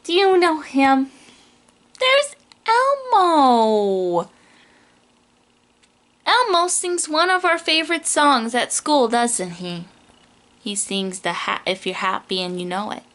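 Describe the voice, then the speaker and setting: Bright, high-pitched woman reading to young children. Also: slow pace, long pauses. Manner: animated, questioning, speaks directly to small children. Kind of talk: children's picture book read-aloud.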